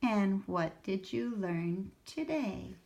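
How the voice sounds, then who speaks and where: A woman's voice: mid-range voice, lively intonation, takes it slowly, gravelly, a little mellow, a little hiss or hum. Storybook mum, sweet and welcoming, played by a woman. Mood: fond. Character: fond, gentle mother. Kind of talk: storybook read-aloud.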